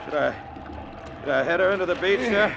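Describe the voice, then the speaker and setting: Gravelly, obedient ship's oiler. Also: male voice, sits high, lively intonation, fairly quick, background noise. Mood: dutiful. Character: tired, willing, obedient male oiler in lifeboat. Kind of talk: 1940s-50s radio acting. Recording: muffled old radio recording.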